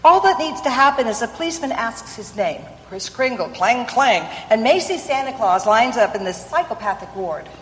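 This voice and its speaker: A woman acts as a brisk, worried store manager in a classic radio drama. Her voice is high and very animated, carried in an echoey hall. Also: a little hiss or hum, natural voice. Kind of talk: old-time radio play acting. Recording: echoey hall stage recording.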